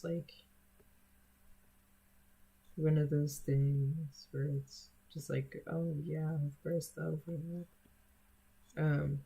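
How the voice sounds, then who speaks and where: Slow, gravelly young streamer chatting. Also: medium pitch, dark tone, rough recording. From United States. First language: Spanish. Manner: unhurried and hesitant, many fillers and restarts. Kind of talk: casual stream chat answering viewers.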